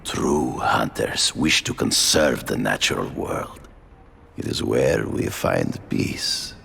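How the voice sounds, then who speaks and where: A man playing a proud, arrogant big-game hunter turned vigilante in a video game, speaking formally and deliberately in grand phrases with a gravelly voice. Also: lively intonation, fairly bright tone, slight background noise, full character voice. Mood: proud, measured. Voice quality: gravelly, very deep. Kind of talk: dramatic video game voice acting.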